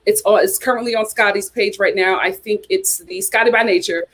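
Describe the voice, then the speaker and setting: A woman's voice: medium pitch, steady tone, a little fast, fairly bright tone. A young woman hosting a casual livestream, chatting and reading viewer comments, repeating herself as she talks. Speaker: YouTube host.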